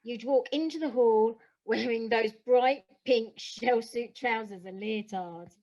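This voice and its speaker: A woman's voice: fairly high pitch, a little slow, a little mellow, lo-fi sound, lightly acted. A woman playing an anxious, self-doubting stage actress, earnest in an audio play. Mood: wry, reflective.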